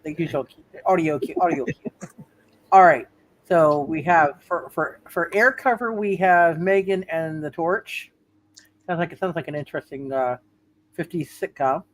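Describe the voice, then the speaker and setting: A man running a tabletop roleplay game as game master, talking casually in a halting way and often repeating words. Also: on the high side.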